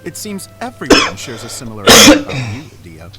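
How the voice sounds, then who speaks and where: A fussy theater director. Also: male voice, high-pitched, huge pitch swings, a little fast. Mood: intrigued. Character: fussy absent-minded male theater director. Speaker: stage director. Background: game music.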